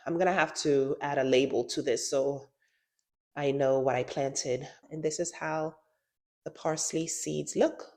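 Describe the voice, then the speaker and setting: A woman's voice: deep voice, fairly even intonation, a little slow, darkish tone. A woman with a stuffy nose from a cold, chatting casually through a gardening how-to vlog and saying "y'all" often. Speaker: gardening vlogger.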